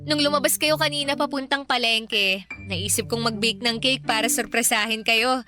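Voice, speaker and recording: Evelyn, bright eager young woman. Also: fairly high pitch, moderate pace, faint music behind. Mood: playful excited. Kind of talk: radio drama acting.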